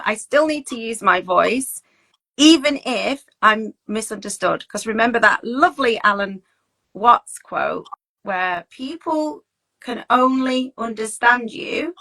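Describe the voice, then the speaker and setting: Female well-being coach, higher-pitched and very bright, chatty and reassuring, informal advice delivery. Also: low-quality recording. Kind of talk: informal advice talk, conversational.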